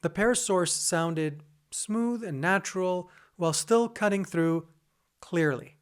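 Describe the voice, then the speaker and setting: A man with a full, bassy voice walks viewers through a piece of sound gear. He talks easily and conversationally, calling his audience "guys" as he explains the features. Part of the review is read from a script, and he records it in his own home studio. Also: slow, clear, resonant tone. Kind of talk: casual product review, partly scripted.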